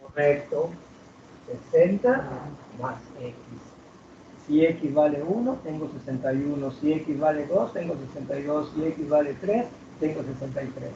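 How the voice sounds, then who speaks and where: A man's voice: on the high side, expressive, unhurried, warm tone, a little hiss or hum. Distant male teacher in an echoing classroom. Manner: asks students questions, drags out key words. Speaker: math teacher. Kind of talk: classroom lecture. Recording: roomy, distant, dull classroom recording.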